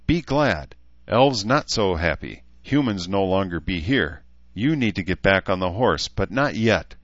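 A man's voice: sits low, fairly bright tone, no echo, lo-fi sound. A man voicing a blunt, wise but caring elf woman who talks in clipped, broken phrases, in an audiobook story.

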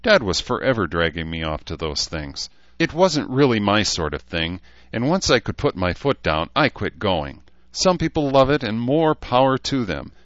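A man acts out a young woman in a fantasy tale, lifting his pitch. She sounds chatty, opinionated and wry, yet polite, in a roomy and slightly dull recording. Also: big pitch swings, normal speed, fairly bright tone. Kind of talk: fantasy audiobook character voice.

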